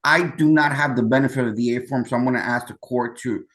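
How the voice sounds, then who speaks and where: A man's voice: mid-range voice, quick pace, fairly bright tone. A man, a defense attorney, speaking formally to the court over a video call through his computer. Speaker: defense lawyer. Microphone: computer microphone on video call.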